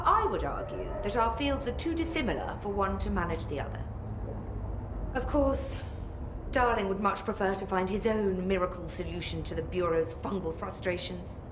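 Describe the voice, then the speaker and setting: Game role, actress: brusque female academic, precise and superior, very animated intonation, roomy noisy sound. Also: mid-range voice, natural voice. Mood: dry, imperious. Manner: precise, pompous, dryly exasperated. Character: haughty, brusque, fastidious female academic scientist. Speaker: scientist. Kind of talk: video game dialogue acting. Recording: roomy echo with background noise.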